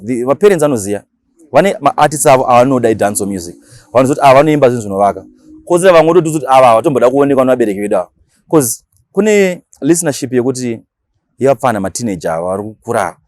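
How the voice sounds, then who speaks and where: A Shona-speaking man with a Zimbabwean accent, talking casually as an interview guest and explaining things in animated, slangy language with acted-out examples. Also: medium pitch, no echo, clean studio sound. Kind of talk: casual interview talk mixing Shona and English.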